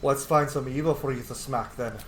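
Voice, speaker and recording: A man doing casual, excited gameplay commentary, cheeky and slangy, gushing as he goes. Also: mid-range voice, brisk, roomy sound, slight background noise. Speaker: gaming video host.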